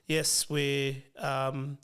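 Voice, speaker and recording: A young man with an Australian accent, whose first language was Fijian, talking casually as an interview guest, hesitant with many pauses and restarts. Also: medium pitch, little pitch movement, creaky, fairly bright tone, clean tone. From Western Sydney, Australia. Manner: hesitant, many ums and restarts, laughs easily. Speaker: financial planner guest.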